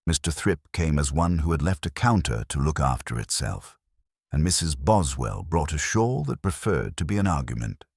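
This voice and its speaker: A British man reading literary mystery narration in a measured, formal, dryly wry manner. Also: very deep voice, very animated, creaky, darkish tone, breathy edge, no room sound, studio quality. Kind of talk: literary audiobook-style scripted narration of a mystery story.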